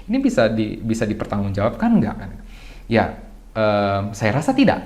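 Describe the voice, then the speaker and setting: A young adult man, a podcast guest, talks through ideas in a loose, reflective way, asking himself rhetorical questions and often clearing his throat. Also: fairly low pitch, huge pitch swings, normal speed, a little mellow. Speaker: guest speaker. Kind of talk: casual podcast discussion.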